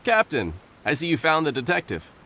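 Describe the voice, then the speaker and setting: A man's voice: fairly high pitch, huge pitch swings, noisy background. A man voicing a courteous, dutiful island soldier in a video game, speaking formally and firmly. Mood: welcoming, firm. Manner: formal, measured. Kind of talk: scripted video game voice acting.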